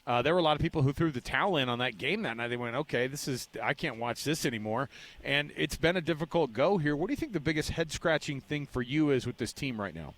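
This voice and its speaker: A man's voice: medium pitch, animated, fast talker, a bit bright. An American man hosting live sports talk radio in a casual, conversational way with rambling asides. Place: live remote broadcast from a ballpark event.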